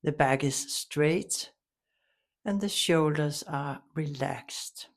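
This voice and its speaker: A woman leading a guided meditation, giving soft instructions in a calm, soothing, unhurried way with long pauses. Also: sits low, vocal fry.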